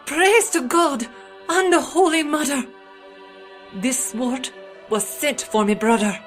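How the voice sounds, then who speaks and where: An earnest boy squire of thirteen, played by a woman, declaims in archaic English for a medieval storybook. The voice sits fairly high and has faint music beneath it. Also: slow pace. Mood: joyful. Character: noble, earnest thirteen-year-old squire boy, Arthur. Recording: music under the voice.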